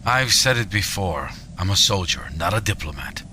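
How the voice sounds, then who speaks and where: Male actor as menacing military general, deep voice, intensely hard bright edge, cold, deliberate, sci-fi radio drama. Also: animated, slight background noise, heavily acted. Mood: cold resolve. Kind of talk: science fiction audio drama acting.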